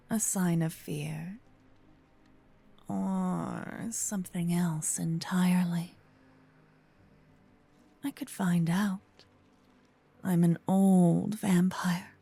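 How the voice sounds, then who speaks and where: Woman as an old vampire captor, female, gentle but possessive, low soft dark tone, close binaural ASMR whispering. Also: heavily acted. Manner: breathy, slow whispering and murmuring. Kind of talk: ASMR roleplay. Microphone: close binaural microphone.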